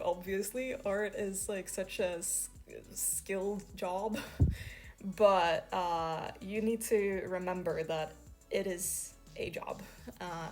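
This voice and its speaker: A young Swedish woman with a fairly low voice talks easily to camera, full of "like" and "um" and small laughs. Soft music plays quietly behind her. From Sweden. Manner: chatty, many fillers and soft laughs. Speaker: freelance artist. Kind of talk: casual talk to camera.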